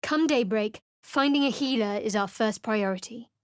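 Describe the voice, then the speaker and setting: A woman's voice: fairly high pitch, normal speed, clear, resonant tone, dry recording, lightly acted. A woman voicing a guarded, sardonic young cleric adventurer in a video game, speaking with dry, cutting wit and cool reserve. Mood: wry.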